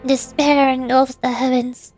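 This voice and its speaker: A woman's voice: high voice, flat delivery, a little slow, a little mellow, soft background music. A woman VTuber doing live game commentary and reading on-screen text aloud.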